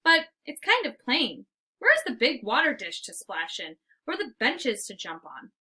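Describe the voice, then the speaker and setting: A woman voicing an excitable, cocky little male dog in a storybook, with dramatic, eager exclamations. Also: high voice, measured pace, heavily acted. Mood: excited, suspicious. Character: excitable small male dog, cocky squirrel-hating playful hero. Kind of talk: storybook read-aloud, first-person narration.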